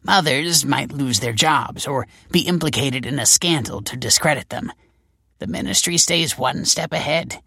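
A man's voice: medium pitch, creaky, pushed and bright, slightly rough, dry recording, pitched above the performer's own voice, heavily acted. A male narrator voicing a wry, world-weary female detective in an audiobook, with a dry, sardonic manner. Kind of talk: audiobook character voice.

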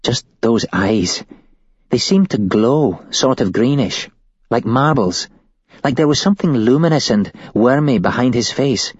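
Man putting on a frightened young woman, shaky, husky, raised pitch, dry, muffled sound. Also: expressive, measured pace, dry recording. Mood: shaken. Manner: shaky, tumbling recollection. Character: shaken young woman, former hostage.

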